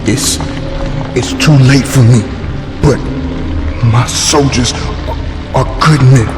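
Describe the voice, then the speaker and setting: A man's voice: mid-range voice, fairly even intonation, slow pace, lightly acted. Man acting a grieving commander in echoing reverb. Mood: grieving, pleading. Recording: echoing hall reverb, loud music.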